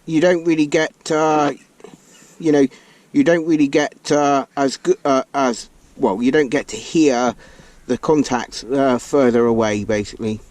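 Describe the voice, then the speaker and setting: A man's voice: mid-range voice, steady tone, a little slow. A man giving a casual hands-on demonstration to viewers in a hesitant, rambling, informal way. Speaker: vlogger demonstrating a CB radio. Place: inside a car. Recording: noisy car interior, radio hiss. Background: loud radio hiss and static.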